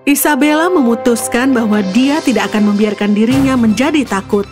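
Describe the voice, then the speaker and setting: A woman's voice: on the high side, brisk. A woman reading a scripted children's fairy tale as the storyteller, with music behind her. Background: background music.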